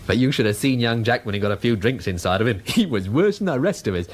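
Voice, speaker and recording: An actor playing a chatty, shaken working-class London fish bar owner in a radio drama, talking colloquially and hesitantly. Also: male voice, mid-range voice, lively intonation, fast talker, slight background noise, close to an everyday voice. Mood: shaken, wistful.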